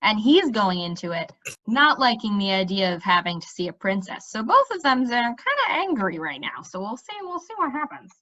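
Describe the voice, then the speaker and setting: Female storybook reader, bright and very lively in pitch, expressive delivery, slightly dull recording. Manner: animated, pausing to check in with listeners. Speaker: reader reading a children's book aloud. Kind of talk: expressive read-aloud storytelling.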